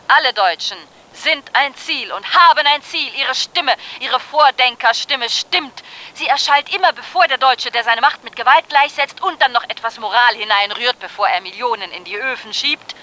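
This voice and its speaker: An actress playing a bitter, sardonic commentator in a German radio play, delivering an ironic, declamatory monologue. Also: female voice, a little high, normal speed, sharp tone, poor audio. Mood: bitter irony.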